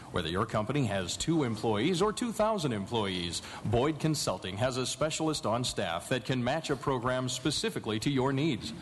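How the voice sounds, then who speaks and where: Animated male radio announcer in a hall. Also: medium pitch, slight background noise. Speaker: announcer reading sponsor spots. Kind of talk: scripted radio advertising read. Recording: live hall reverb. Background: music.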